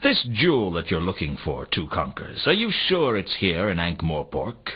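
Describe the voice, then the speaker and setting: A man's voice: deep voice, wildly swooping pitch, normal speed, husky, heavily acted. A man playing a world-weary, dryly sardonic private detective in a noir video game. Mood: dry, inquisitive. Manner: dry, sardonic. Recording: dull, lo-fi game audio.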